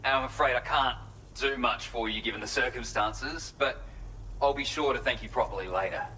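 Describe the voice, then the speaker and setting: Actor as a bright-voiced Australian facility worker. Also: male voice, medium pitch, moderate pace, a little hiss or hum, close to an everyday voice, rough recording. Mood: relieved, earnest. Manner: earnest, matter-of-fact briefing. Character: grateful, businesslike male facility worker. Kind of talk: video game cutscene acting.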